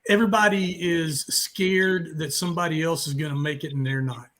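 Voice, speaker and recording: Male host for a live music interview: higher-than-average voice, casual and rambling delivery. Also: steady tone, brisk, a bit bright. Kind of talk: casual unscripted interview chat. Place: remote live stream call.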